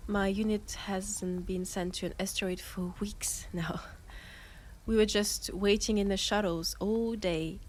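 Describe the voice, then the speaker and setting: A woman voicing a young space miner with a French accent in a sci-fi audio roleplay, talking with her best friend. She speaks slowly and hesitantly, often trailing off into pauses. Her pitch sits in an ordinary middle range, and a faint ambient hum runs underneath. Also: lightly acted.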